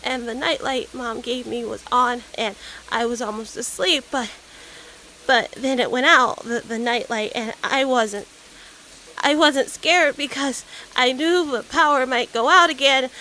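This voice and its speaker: A woman's voice: high voice, sharp tone, slight background noise, exaggerated voice, poor audio. A woman voicing a frightened young boy who stammers and repeats himself in a horror audio drama. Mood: hesitant. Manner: halting, stammering, repeating words. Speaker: voice actor.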